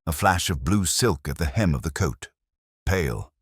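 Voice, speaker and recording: A man narrating a mystery story in a measured storytelling manner, with a husky voice. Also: extremely low pitch, lively intonation, dry recording, clean studio sound.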